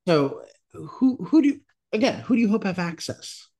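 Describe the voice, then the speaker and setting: A woman's voice: mid-range voice, big pitch swings, moderate pace, dark tone. A woman hosting a theater podcast interview, asking questions and praising her guest's answers effusively. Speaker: podcast host.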